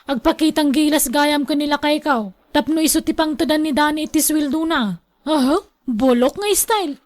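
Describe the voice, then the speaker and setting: An actress plays a young Ilocano Filipino wife in a radio drama. Her voice sits high. She is resentful and sharp-tongued, forever complaining about her in-law. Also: normal speed, natural voice. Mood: scornful.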